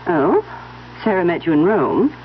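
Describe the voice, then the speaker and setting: A woman's voice: lowish voice, big pitch swings, unhurried. An actress playing a genteel older mother who questions people with cool, pointed formality in a radio drama. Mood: cool, polite. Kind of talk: 1970s radio drama acting. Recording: dull lo-fi old radio broadcast, faint noise.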